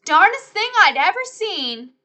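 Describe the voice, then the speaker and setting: A woman voicing a fussy, proper father in a storybook, with emphatic, drawn-out words. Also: very high voice, big pitch swings, bright, forceful tone, pitched above the performer's own voice, exaggerated voice. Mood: astonished.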